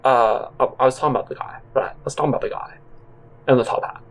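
A man's voice: medium pitch, fast talker. A man narrating a comic audio drama in the first person, with a rambling, awkward, conversational manner.

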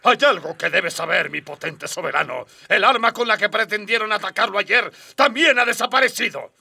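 A dutiful alien colonel in a comic radio play. The delivery is clipped and military, high for a man, with gravel in it. It sits dry and set back from the microphone. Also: normal speed, bright, forceful tone, no room sound, distant mic, full character voice. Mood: urgent report. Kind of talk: comic radio drama acting.

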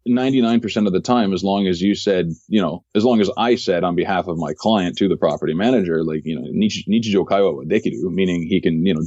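A man, a podcast guest who works as a real estate agent, telling stories of his work in a measured way and often repeating words as he finds his phrasing. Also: low-pitched, darkish tone, dry recording, highs cut off. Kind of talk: casual interview storytelling.

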